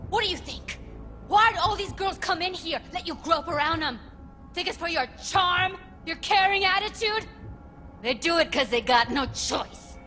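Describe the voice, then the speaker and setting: An actress playing a blunt, foul-mouthed young tech rebel in a video game, bitter and fiery. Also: female voice, very high-pitched, lively intonation, moderate pace, sharp tone, soft background music, full character voice. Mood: scornful, furious. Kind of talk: video game dialogue acting.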